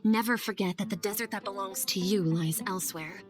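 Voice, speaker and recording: An actress playing an earnest, idealistic young scholar in a video game cutscene, speaking gently and articulately. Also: female voice, medium pitch, a little fast, lightly acted. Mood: earnest, reflective. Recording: music under the voice.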